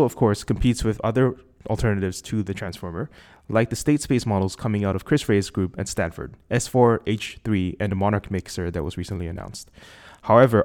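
A man with a Singaporean accent hosting a tech podcast, reading a measured, articulate prepared introduction. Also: darkish tone. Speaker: podcast host. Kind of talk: scripted intro then casual interview. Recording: lower quality recording outside a studio.

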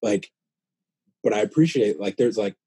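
An American man in his late thirties talking casually and sincerely, in a reflective, halting way, circling back over phrases as he thinks. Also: fairly low pitch, lively intonation, mellow, husky, no echo. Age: late 30s. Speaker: podcast guest, new father. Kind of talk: casual heartfelt chat.

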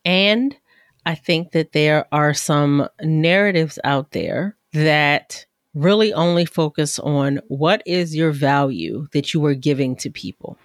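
Low-voiced upbeat woman podcast host. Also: slow pace, no room sound, studio quality. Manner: upbeat, lively and conversational. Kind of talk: casual podcast chat.

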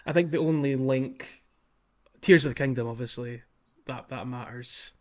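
A man's voice: medium pitch, moderate pace, darkish tone. A man talks casually in a podcast discussion. His voice sits in a middle register, and the recording is a little dull and lo-fi. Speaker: podcast co-host.

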